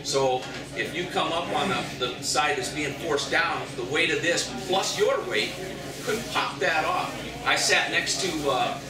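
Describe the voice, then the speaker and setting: A man's voice: fairly high pitch, a little slow. A middle-aged man, a fishing guide, giving a folksy storytelling seminar talk to an audience in a large indoor shop. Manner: storytelling, folksy, repeats words. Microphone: far camera, no mic. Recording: echoey room from far off, loud crowd.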